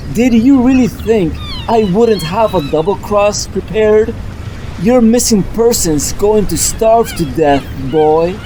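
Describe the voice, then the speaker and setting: A man's voice: high-pitched, mellow, a little hiss or hum, poor audio. A man voicing a scheming male supervillain who taunts and sneers.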